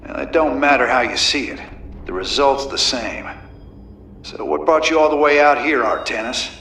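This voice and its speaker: Echoey Southern space commander. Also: male voice, mid-range voice, normal speed, gravelly, bright, forceful tone, slight background noise. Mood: wry. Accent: Southern American. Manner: casual, drawling, blunt. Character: gruff, weary human space commander with folksy frankness. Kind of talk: dramatic game cinematic acting. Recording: echoey, distant, music behind.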